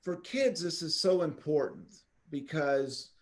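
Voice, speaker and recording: A man's voice: on the high side, animated, takes it slowly. A man hosting a podcast interview, eager and enthusiastic as he welcomes his guest. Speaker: podcast host.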